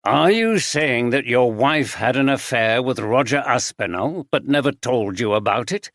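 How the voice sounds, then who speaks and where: A man's voice: mid-range voice, lively intonation, a little slow, a bit bright, no echo, clean studio sound. A man playing a shrewd older male detective, probing and then urgent and commanding.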